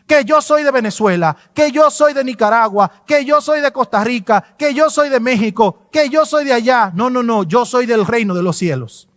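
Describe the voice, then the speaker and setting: A man's voice: extremely high pitch, moderate pace, few pauses, fairly bright tone, no echo, clean studio sound, big-room sound. A pastor preaching a fervent, emphatic sermon. He repeats himself for emphasis in a church sanctuary.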